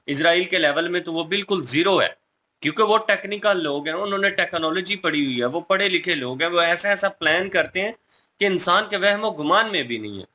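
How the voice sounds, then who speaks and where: A man speaks as a co-host giving his opinions casually. His voice is fairly high and sharply bright. He explains his points with emphasis. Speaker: reaction video co-host.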